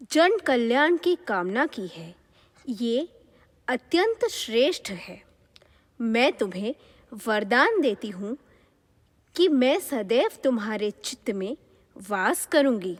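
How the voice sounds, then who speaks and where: Lady as a kindly mother goddess, stately, high-ish voice, very unhurried, swimming in reverb. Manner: serene, stately, blessing. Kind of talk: devotional mythological audio drama acting. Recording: long reverberant hall echo.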